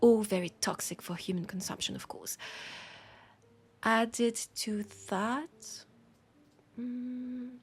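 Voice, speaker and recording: A woman with a French accent playing a warm, teasing, caring bookseller in an intimate roleplay audio, speaking softly and hesitantly with pauses. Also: on the high side, husky, soft background music, heavily acted. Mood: light, playful. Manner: soft, hesitant, gently teasing, unhurried with pauses. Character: warm, teasing, worried mortal bookseller woman, fond of a vampire.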